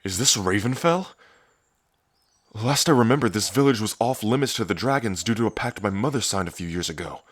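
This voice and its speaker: A man's voice: animated, fairly quick, no echo, clean studio sound. A man playing a cocky, teasing dragon prince and protective big brother in an ASMR audio drama. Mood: alarmed, angry. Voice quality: deep, low. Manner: smug, flirtatious, teasing, sweary.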